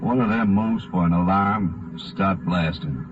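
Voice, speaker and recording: Low gruff cowboy outlaw, bossy and menacing, old noisy muffled radio western. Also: male voice, measured pace. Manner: terse, bossy, folksy cowboy talk. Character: gruff, threatening male bank robber cowpoke. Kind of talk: 1950s radio western acting.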